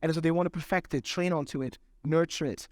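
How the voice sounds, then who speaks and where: A man's voice: on the high side, steady tone, fast, a little mellow, no room sound, studio quality. A man speaking at a conference, answering audience questions in a loose, conversational way, often checking in with the room. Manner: conversational, keeps checking in with "right?".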